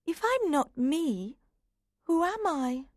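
A man voicing a curious, fretful young girl in a high, wondering tone for a storybook. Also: big pitch swings, slow, dry recording, clean studio sound, full character voice.

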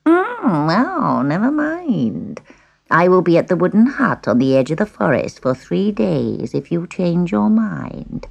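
A woman voicing a sly, scornful old witch peddler in a children's storybook, with a croaky, cackling voice. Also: on the low side, sing-song, a little slow, heavily acted. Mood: wheedling.

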